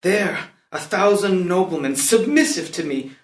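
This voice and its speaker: A man plays a captive young prince in a stage drama, with a high male voice. He declaims poetically, brooding and vengeful, as if dazed by dreams. The room around him is echoey. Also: lively intonation, normal speed, a little hiss or hum. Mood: dazed wonder. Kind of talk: unrehearsed play reading. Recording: roomy, echoey live room.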